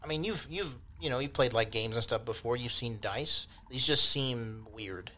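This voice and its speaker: A man's voice: mid-range voice, moderate pace, sharp tone. A man acting as game master, improvising descriptions in a casual, rambling, colloquial way.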